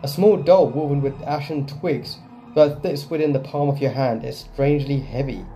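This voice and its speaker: A man's voice: mid-range voice, steady tone, normal speed, mellow. A man giving casual gameplay commentary as host of a gaming video. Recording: faint game music behind.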